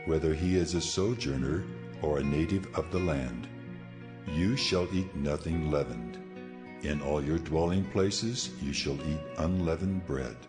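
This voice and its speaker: A man playing God in a dramatized scripture reading, solemn and authoritative as he declares commands. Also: very deep voice. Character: God speaking laws, solemn commanding male deity.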